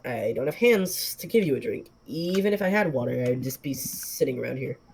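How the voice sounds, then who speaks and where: A man voicing a calm, wise male snake that talks slowly and matter-of-factly. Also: medium pitch, creaky, slight background noise. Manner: slow, measured, matter-of-fact.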